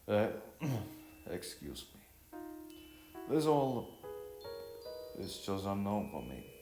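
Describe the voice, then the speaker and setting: Earnest, awkward male traveler for an audio novel, voiced by a man, formal, halting, echoey with music. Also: fairly low pitch, sing-song, dark tone, heavily acted. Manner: hesitant, formal. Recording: roomy echo with music behind.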